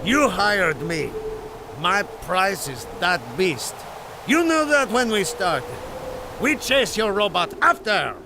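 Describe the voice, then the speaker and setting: An actor playing a ruthless, obsessed mountain guide and hunter, cold and determined, in a pulp adventure audio drama. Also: male voice, high voice, big pitch swings, takes it slowly, full character voice. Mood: cold defiant. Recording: loud blizzard noise behind voice.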